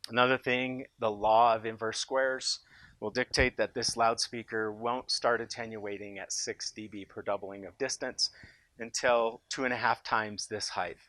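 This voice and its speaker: An American man giving an informal, unscripted product pitch as a company boss, speaking with enthusiasm and emphasis. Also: a bit bright, dry recording. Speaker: company founder presenting his loudspeaker in a product demo.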